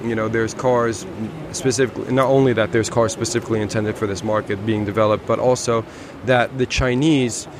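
A man's voice: on the low side, fairly even intonation, a little fast, few pauses, background noise. A man casually talking about cars on a motor show floor, rambling a little with restarts. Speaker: car show reviewer. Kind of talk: casual walkaround chat. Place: busy motor show hall.

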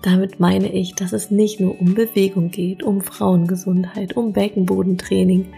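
A woman hosting a podcast with a mellow, slightly gravelly voice. She talks with eager, effusive enthusiasm. Faint guitar music plays softly behind her. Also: medium pitch, level tone, normal speed. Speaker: podcast host. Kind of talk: podcast intro and interview.

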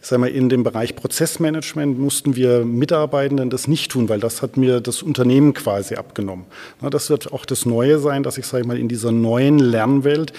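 A man's voice: mid-range voice, fairly even intonation, brisk, warm tone. A man giving discursive expert explanations as an interview guest, often adding little tag questions. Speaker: interview guest, transformation researcher. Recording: long hall reverb.

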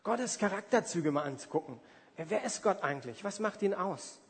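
A man's voice: sits high, animated, moderate pace. A man in his forties preaching in a conversational, emphatic way, with everyday examples and rhetorical questions. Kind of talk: sermon with everyday examples and acted-out asides. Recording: reverberant hall.

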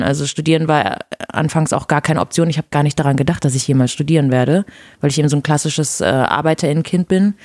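A woman's voice: lowish voice, no room sound, clean studio sound. A woman from Brazil, a native Portuguese speaker in her thirties, chatting frankly in an interview as the guest. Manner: relaxed, frank, with fillers. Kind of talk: casual interview chat.

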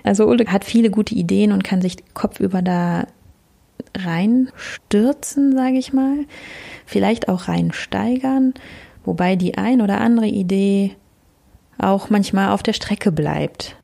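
A woman describing a friend in a quick, chatty voice message. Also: medium pitch, gravelly, warm tone. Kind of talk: casual voice message.

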